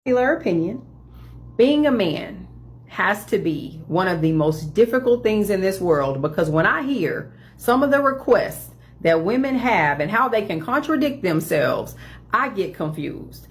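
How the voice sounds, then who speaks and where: A woman giving an opinion monologue, mocking demands in quick sarcastic bursts. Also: medium pitch, sing-song, slight background noise.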